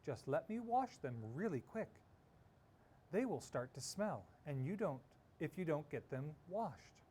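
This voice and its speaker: A man voicing a sensible mother in a children's storybook, pleading softly and coaxingly with her child. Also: a little high, big pitch swings, a little slow, a little hiss or hum. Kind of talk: children's storybook read-aloud.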